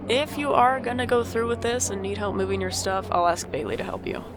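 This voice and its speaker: A woman playing a wry, guarded but caring older sibling in an audio drama, with dry, deadpan delivery. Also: mid-range voice, fairly quick, noisy background, lightly acted. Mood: blunt, teasing. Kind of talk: audio drama narration and dialogue.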